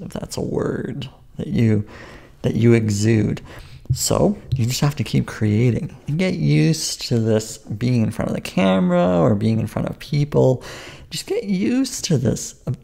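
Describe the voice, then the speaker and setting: Calm low-voiced man with gravelly fry. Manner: rambling and self-interrupting, with restarts. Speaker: YouTube creator giving advice. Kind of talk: casual advice vlog to camera.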